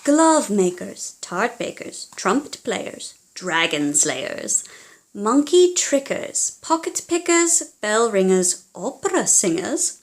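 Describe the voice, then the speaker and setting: A woman reading a children's storybook aloud in a theatrical, expressive way, as if speaking directly to young listeners. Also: medium pitch, slow. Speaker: children's audiobook reader.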